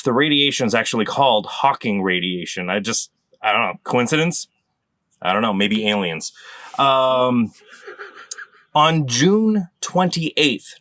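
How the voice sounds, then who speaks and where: A man's voice: mid-range voice, a bit bright, no room sound. Guy rambling thru a quiz question, laughs at himself, dry close sound. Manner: rambling, self-mocking, laughs at own slips. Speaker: quiz presenter on a comedy game podcast. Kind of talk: casual comedic chat.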